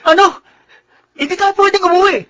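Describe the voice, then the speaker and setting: An actor playing a boisterous, fun-loving young soldier buddy in Filipino radio drama, excitable and jokey. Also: male voice, extremely high pitch, brisk. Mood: excited, jovial.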